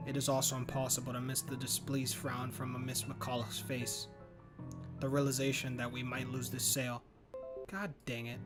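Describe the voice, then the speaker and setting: A man reading a visual novel's narration aloud as a gaming host, plainly and correcting his own slips. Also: medium pitch. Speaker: gaming YouTuber.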